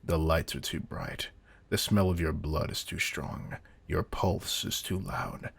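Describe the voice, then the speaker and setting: A man playing a veteran vampire hunter in an audio drama, a controlled, intense mentor who speaks in a deliberate, commanding, quietly menacing way. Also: deep voice, fairly even intonation, full character voice. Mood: low, ominous. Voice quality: gravelly, husky. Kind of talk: scripted roleplay audio drama.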